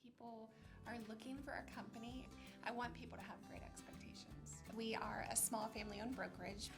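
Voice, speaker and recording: Gravelly-voiced woman pitching over music. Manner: confident, assured selling. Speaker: real estate broker in an ad. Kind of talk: promotional ad pitch. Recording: speech over music bed.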